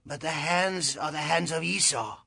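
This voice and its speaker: An actor playing an aged, half-blind patriarch in a scripture audio drama, breathy, slow and solemn. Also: male voice, on the high side, sharp tone, no echo, exaggerated voice. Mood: searching, doubtful. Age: older.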